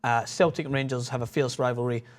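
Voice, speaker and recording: A man's voice: mid-range voice, steady tone, fast. A man hosting a sports panel, leading the talk and steering the question to a guest. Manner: leads the talk, lots of short agreeing replies. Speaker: host.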